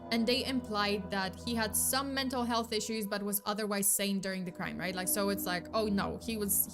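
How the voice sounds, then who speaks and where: A young woman tells a true crime case in loose, rambling chat, full of likes, uhs and self-corrections, with a casual swear here and there. Her voice is tired and fraying from long talking, and music plays clearly underneath. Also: mid-range voice. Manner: chatty, lots of fillers and restarts, swears casually. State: losing her voice. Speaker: true crime vtuber host. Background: background music.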